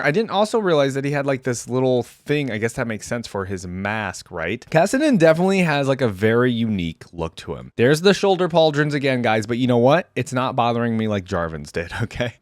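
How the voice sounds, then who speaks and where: A man's voice: medium pitch, no room sound, studio quality. A man hosting a video game reaction, talking informally and conversationally as he thinks aloud. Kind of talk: casual reaction commentary.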